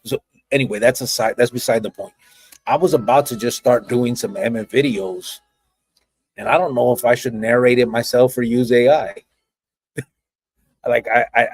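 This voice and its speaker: Raspy, gravelly-voiced man, blunt. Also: mid-range voice, no room sound. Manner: blunt, profane, streetwise. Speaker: podcast host. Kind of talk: casual livestream chat.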